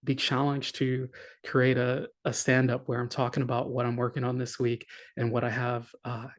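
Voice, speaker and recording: A man giving a conference talk, his voice flat and even at a middle pitch. He talks in an earnest, encouraging way. He leans on fillers and often restarts his phrases. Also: normal speed. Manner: hesitant, many fillers and restarts. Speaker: conference speaker.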